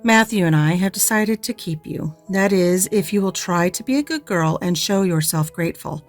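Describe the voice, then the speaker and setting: A woman's voice: on the low side, moderate pace, faint music behind, natural voice. A woman voicing a stern, dry, unbending middle-aged spinster, curt and disapproving, in an audiobook reading. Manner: curt, disapproving and matter-of-fact.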